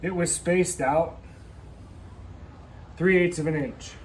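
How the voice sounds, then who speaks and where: A man's voice: on the high side, fairly even intonation, slow. A man casually explaining a car brake swap in his workshop, rambling and joking, calling his viewers kids. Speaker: garage mechanic demonstrating a brake swap. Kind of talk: casual hands-on how-to talk. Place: workshop garage. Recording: noisy shop ambience.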